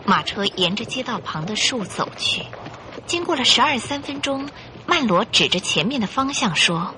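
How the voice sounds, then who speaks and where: A woman narrator reading a scripted radio drama in an expressive, dramatic storytelling manner. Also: a little high, normal speed, slight background noise.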